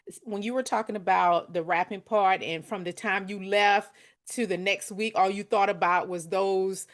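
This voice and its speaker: A woman hosting a storytelling podcast, talking in a chatty, animated way with drawn-out emphatic words. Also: mid-range voice. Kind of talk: casual interview conversation.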